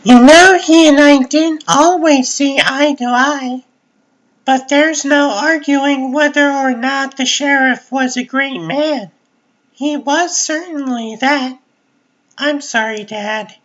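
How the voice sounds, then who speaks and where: A woman plays a bold young daughter in a dramatic comic dub. Her voice is high and unhurried, with a persistent, questioning manner. The recording is slightly muffled. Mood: somber.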